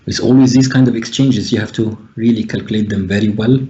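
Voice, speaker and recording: A man's voice: on the low side, fairly even intonation, fairly quick, dark tone, muffled recording, a little hiss or hum. Hesitant man commentating chess. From Egypt. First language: Arabic. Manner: thinking aloud, frequent hesitations. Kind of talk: live online chess commentary.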